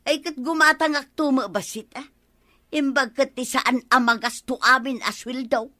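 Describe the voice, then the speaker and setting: A woman playing a sharp-tongued working wife in a comic radio drama, nagging her husband with quick retorts. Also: sits high. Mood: scolding.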